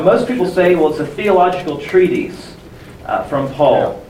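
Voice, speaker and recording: A hoarse young man preaching a sermon in a conversational, self-deprecating way, with many restarts. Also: sits high, a little hiss or hum. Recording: echoey room from far off with faint ambience.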